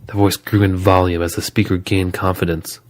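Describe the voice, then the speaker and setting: A man's voice: sits low, flat delivery, a little fast, heavy background noise. A man narrating a scripted horror story as a dramatic storyteller.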